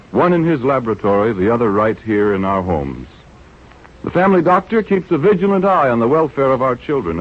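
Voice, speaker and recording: A man announcing on 1930s radio, in a polished, measured, persuasive sponsor's style. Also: medium pitch. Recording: dull old radio recording.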